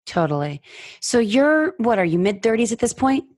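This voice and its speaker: A woman interviewing a guest, affirming his answers warmly and asking probing follow-up questions. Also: fairly high pitch, sing-song, moderate pace. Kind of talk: interview conversation.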